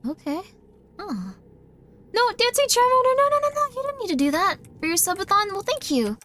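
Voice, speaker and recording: A woman's voice: extremely high pitch, very animated, a little fast, bright, forceful tone, a little hiss or hum. A woman live-streaming a game, chatting in a bubbly way and often thanking her viewers. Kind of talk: casual live-stream chat while gaming.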